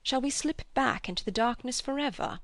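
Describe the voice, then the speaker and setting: A woman voicing an old grieving father who speaks gently and slowly. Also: high-pitched, expressive, no room sound, studio quality, voice pushed up. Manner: slow, quiet, heavy.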